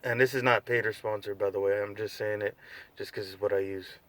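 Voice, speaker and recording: A man's voice: lowish voice, steady tone, brisk, fairly bright tone. A tired young man from the United States vlogging casually, rambling with lots of fillers and restarts. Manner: laid-back, rambling, many fillers and restarts. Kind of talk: unscripted casual vlog.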